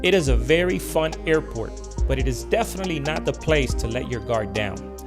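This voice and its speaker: A man's voice: mid-range voice, lively intonation, a little fast. A man narrating an explanatory aviation video as the host, addressing viewers directly. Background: music.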